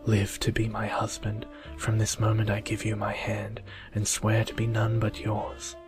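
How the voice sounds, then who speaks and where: A man voicing a tender, devoted young woman in an earnest, gentle ASMR storybook reading. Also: lowish voice, completely flat delivery, measured pace, faint music behind, close to an everyday voice. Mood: earnest, pleading.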